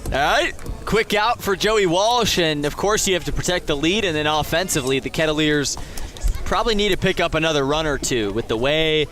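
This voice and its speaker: Higher-voiced male baseball color commentator. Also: animated, fairly quick, fairly bright tone, faint music behind. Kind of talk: live sports analysis. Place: outdoor ballpark.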